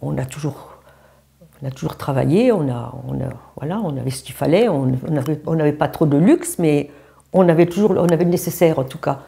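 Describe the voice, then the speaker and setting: Low gravelly woman interviewee. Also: a little fast, mellow. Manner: proud, reflective. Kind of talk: documentary interview.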